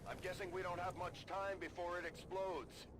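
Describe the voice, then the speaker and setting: A man's voice: sits high, flat delivery, normal speed, natural voice. An actor playing an alert male tactical officer, advising his commander in an urgent, clipped military manner in a video game. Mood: urgent, worried. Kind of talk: video game radio briefing acting. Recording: game audio with clear background noise.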